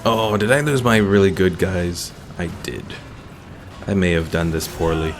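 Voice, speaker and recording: A man's voice: low-pitched, fairly even intonation, moderate pace. A man doing casual, chatty gameplay commentary as a streamer and reading out viewer chat, with game music behind him. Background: video game music.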